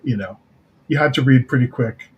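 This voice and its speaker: A man from North America speaking as an interview guest, casually and haltingly, repeating words as he thinks. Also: mid-range voice, a little mellow, husky, lo-fi sound. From Canada or the United States. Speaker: guest, writer-producer of an audio drama.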